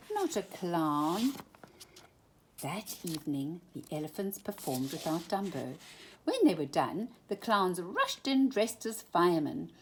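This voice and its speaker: A woman reading a children's storybook aloud at bedtime in an expressive, animated way. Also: on the low side, slightly rough.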